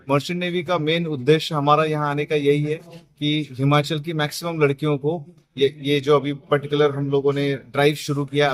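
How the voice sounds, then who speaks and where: Slightly high-voiced Indian man, official statement. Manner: mixes English terms into speech. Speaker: training institute principal. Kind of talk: interview statement.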